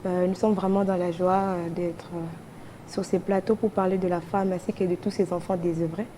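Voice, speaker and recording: A woman's voice: fairly low pitch, flat delivery, normal speed, warm tone, slight background noise. A woman with a Congolese accent speaking as a charity guest in a TV studio, making an earnest appeal with repeated phrases. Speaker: charity association representative, TV guest.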